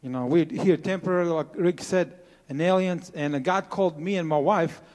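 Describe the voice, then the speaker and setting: A man's voice: on the high side, normal speed, darkish tone, long reverb tail. A man in his forties from Croatia, speaking English with a Croatian accent, earnestly sharing his testimony to a church congregation with many hesitant fillers. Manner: earnest, plain-spoken with frequent uh fillers. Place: church sanctuary.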